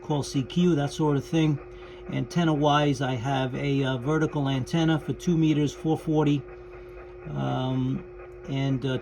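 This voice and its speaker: A man hosting a hobby radio channel, talking casually and haltingly as he explains things in an informal vlog style. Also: mid-range voice. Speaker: host of a ham radio channel. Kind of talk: casual vlog talk about radio operating. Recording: clear background noise.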